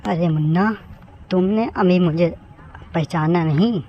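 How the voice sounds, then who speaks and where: A man's voice: high voice, measured pace. A man voicing a talking dog, kindly but stern guardian of a magic well, in a cartoon moral story, speaking solemnly. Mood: solemn warning. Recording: dull, noisy home recording.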